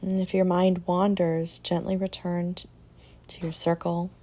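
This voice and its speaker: Female meditation guide: mellow, relaxed, slightly low voice, gentle and soothing delivery with unhurried pauses. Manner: soft, soothing, with long pauses.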